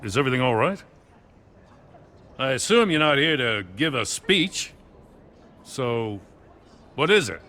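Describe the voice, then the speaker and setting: An actor playing an earnest, dutiful middle-aged police commissioner in a video game, plain-spoken and sincere. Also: male voice, medium pitch, sing-song, measured pace, long pauses, pushed and bright. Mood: earnest, reflective. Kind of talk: video game dramatic acting. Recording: faint background ambience noise.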